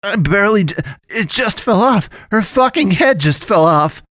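A man's voice: mid-range voice, brisk, a bit bright, no room sound, clean studio sound, natural voice. A man voicing a crude, sleazy drunk who corners a woman in an alley, talking in a drawling, cooing way. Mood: panicked.

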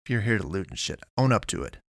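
A gruff, righteous storekeeper, acted by a male narrator, booms out blunt, colloquial lines. He is an indignant audiobook character, recorded close and dry. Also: sing-song, fast talker, a bit bright, no echo. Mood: suspicious.

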